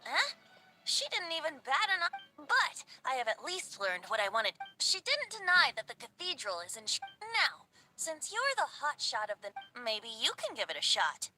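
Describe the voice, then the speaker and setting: A woman's voice: high voice, huge pitch swings, moderate pace, pushed and bright, soft background music, full character voice. A woman voicing a playful, theatrical young male bard in a video game, with a teasing, wheedling manner. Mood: teasing, breezy.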